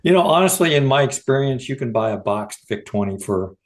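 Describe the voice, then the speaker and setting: A man's voice: medium pitch, expressive, fairly quick, slight background noise. A middle-aged American man, a podcast host, talking informally in a casual discussion. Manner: informal, conversational.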